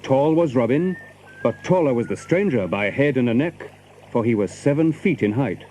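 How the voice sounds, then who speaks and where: A man narrating an adventure story from a script, in measured, literary, archaic English. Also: medium pitch, expressive, mellow, lo-fi sound, slight background noise.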